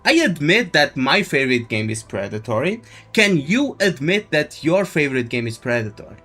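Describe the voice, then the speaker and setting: A man's voice: mid-range voice, very animated, moderate pace, sharp tone, breathy edge. A man chatting casually on a game livestream, giving opinions and repeating phrases as he explains his points. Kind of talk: casual livestream chat and commentary. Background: faint music.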